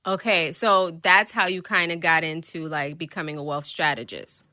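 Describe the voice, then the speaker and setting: A woman hosts a casual podcast, asking friendly, complimentary questions. Her voice is bright and forward, sitting a little low. The sound is dry and close, with no room around it. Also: normal speed, no room sound. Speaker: podcast host. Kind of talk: casual interview chat.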